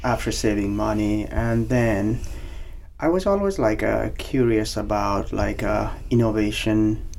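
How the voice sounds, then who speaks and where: Slow-talking man with a Nepali accent. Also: on the low side, gravelly, a little mellow, a little hiss or hum. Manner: halting, many fillers and restarts. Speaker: podcast guest, banking officer. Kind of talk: casual interview.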